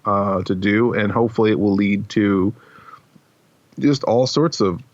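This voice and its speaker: A man's voice: fairly low pitch, a little slow, no echo. A man hosting a podcast, chatting casually about a script with wry, self-deprecating asides. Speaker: podcast host. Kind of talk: casual behind-the-scenes script discussion. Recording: slightly dull, dry close recording.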